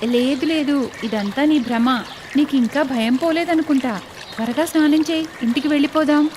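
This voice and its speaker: A woman voices an elder sister-in-law from a village in a cartoon story. Her fairly high voice sounds calm, reassuring and a little dismissive of the younger woman's fears. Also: normal speed, slight background noise, lightly acted.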